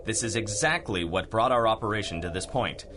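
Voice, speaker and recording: Man acting a low, officious squad leader. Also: lively intonation, fairly quick, background noise, natural voice. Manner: pompous, precise. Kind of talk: pulp radio drama acting.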